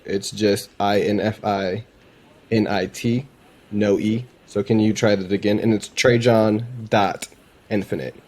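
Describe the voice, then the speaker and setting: A man's voice: lowish voice, steady tone, unhurried, darkish tone. A man chatting informally as he presents and explains a demo, conversational and unscripted. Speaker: presenter demonstrating a voice AI. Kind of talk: casual chat, explaining a demo.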